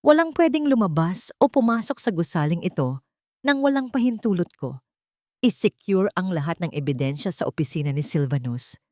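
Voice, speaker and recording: A woman's voice: on the low side, expressive, normal speed, warm tone, dry recording, clean studio sound, lightly acted. A woman voicing a grieving, commanding male tycoon in an audio drama, controlled and imperious. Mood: cold, commanding. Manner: controlled, imperious, clipped orders.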